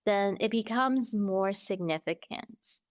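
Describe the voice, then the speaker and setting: Woman from taiwan, slow careful talk, smooth clear voice, guest on a podcast. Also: mid-range voice, no room sound, studio quality. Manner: slow, measured, searching for words. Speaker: interview guest. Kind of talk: casual interview chat.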